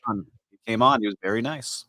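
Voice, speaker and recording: A man's voice: medium pitch, brisk, pushed and bright, no room sound. A young man from Miami co-hosting a live travel show, chatting in an upbeat, easygoing way. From Miami, Florida. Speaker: podcast co-host. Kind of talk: casual live-stream chat.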